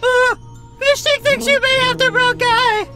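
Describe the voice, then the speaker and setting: A woman's voice: extremely high pitch, steady tone, a little slow, over loud music. A woman voicing a naive, earnest young male fish prince who talks about himself in the third person. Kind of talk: fan-made animated short film.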